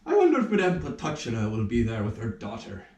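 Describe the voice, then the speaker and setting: A man playing a pompous, flustered army major in a puppet show, theatrical and full of drawn-out exclamations. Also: mid-range voice, wildly swooping pitch, a little mellow. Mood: self-satisfied. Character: flustered pompous army major who lost his nose. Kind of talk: puppet show stage acting in rehearsal. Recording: roomy echo, somewhat distant mic.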